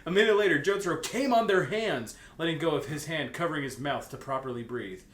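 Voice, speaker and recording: Young guy doing a jokey read-aloud, kinda high voice, trips on words, echoey room w a bit of noise. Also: fairly quick, a bit bright. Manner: giggly, stumbles over words, hams up quotes. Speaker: co-host reading fan fiction aloud. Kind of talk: comedic read-aloud with casual banter.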